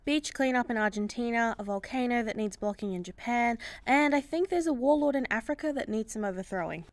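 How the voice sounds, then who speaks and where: A dutiful female superhero played by a woman in a comedy radio play. She sounds composed and wry, a little high in pitch, with no room echo. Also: brisk, lightly acted. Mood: businesslike. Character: sensible, dutiful female superhero with dry wit.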